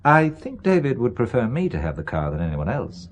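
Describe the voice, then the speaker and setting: An actor playing a self-assured, urbane British spy in a radio thriller, explaining things confidently. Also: male voice, medium pitch, wildly swooping pitch, fairly quick. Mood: polite, probing. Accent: British English.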